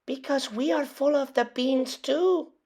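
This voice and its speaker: A woman voicing a small, excitable, bossy male chihuahua bandit in a storybook, squeaky and emphatic. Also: a little slow. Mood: dramatic listing.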